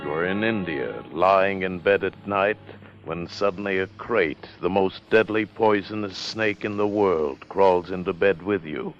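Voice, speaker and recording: An actor playing an ominous, dramatic narrator of a 1950s radio suspense show. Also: male voice, low-pitched, measured pace. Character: ominous male narrator teasing a suspense tale. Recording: dull 1950 radio recording, music behind.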